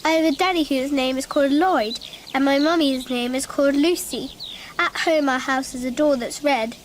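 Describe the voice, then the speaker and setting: Radio play: woman as small imaginative girl, very high childlike voice, earnest and literal-minded narration, slight background noise. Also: moderate pace, lightly acted. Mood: chatty, curious. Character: imaginative, earnest little schoolgirl narrating her secret. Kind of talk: radio drama dialogue and narration.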